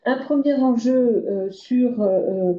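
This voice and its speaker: Halting woman presenter in an echoey room. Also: fairly high pitch. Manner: halting, with very frequent drawn-out hesitations. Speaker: web conference presenter. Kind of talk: semi-improvised expert presentation. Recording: dull, echoey web conference audio.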